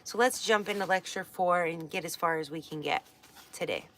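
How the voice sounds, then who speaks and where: Chatty, rambling woman with a lowish voice. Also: normal speed, a bit bright, slight background noise. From west coast of the United States. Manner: chatty, rambling, many fillers and restarts. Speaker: astrology teacher. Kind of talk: casual livestream book study.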